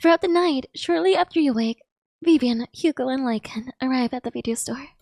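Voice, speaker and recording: A woman live-streaming her excitable, emotional reaction to a game, exclaiming and casually swearing. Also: high-pitched, fairly quick, vocal fry, no echo, studio quality. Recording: game music behind. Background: game music.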